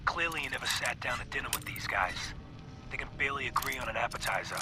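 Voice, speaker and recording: An actor playing a cold, smooth-talking male mob boss, dictating recorded messages in a video game. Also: medium pitch, fast talker, over music, natural voice. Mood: sardonic. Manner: calm, sardonic gangster drawl. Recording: noisy game audio capture.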